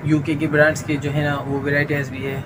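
A man from Pakistan, a wholesale shopkeeper pitching products and filling his talk with "you know" tags. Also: completely flat delivery, a little fast. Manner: fills with "jo hai na" and "theek hai na". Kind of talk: sales pitch demonstration. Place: indoors, crowded accessories shop. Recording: noisy busy shop.

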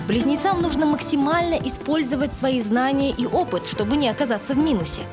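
A woman reading a scripted horoscope voice-over in a bright, sing-song manner, over music. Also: sits high, brisk. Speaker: horoscope announcer. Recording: studio voice-over over loud music. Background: loud music.

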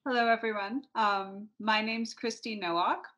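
A woman's voice: fairly high pitch, fairly even intonation, normal speed, a bit bright, a little hiss or hum. A woman from Washington state with an American accent, giving a casual self-introduction as a librarian. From Snohomish, Washington, US. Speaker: academic librarian.

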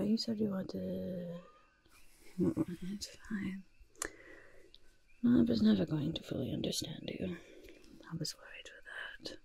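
A young woman voicing a grudging, smug goblin disguise artist in a breathy, raspy, soft-spoken ASMR roleplay, muttering reassurances close to the microphone. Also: fairly low pitch, heavily acted. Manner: refers to self by name, mutters and repeats reassurances. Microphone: close microphone, face close to camera.

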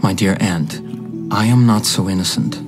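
A man acting a polite, earnest young gentleman in a period radio drama, hesitant and formal, charmed and a little defensive. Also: deep voice, moderate pace, over music, lightly acted.

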